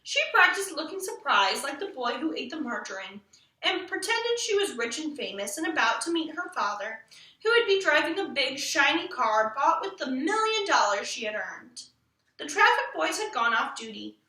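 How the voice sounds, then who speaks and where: A woman reading a children's book aloud in an expressive storytelling style. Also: high voice, normal speed. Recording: roomy echo, distant from mic.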